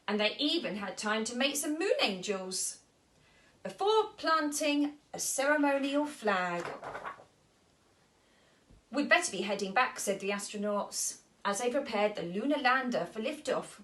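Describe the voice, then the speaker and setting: A woman's voice: a little high, lively intonation, measured pace, fairly bright tone. A woman storyteller reading a children's story aloud expressively.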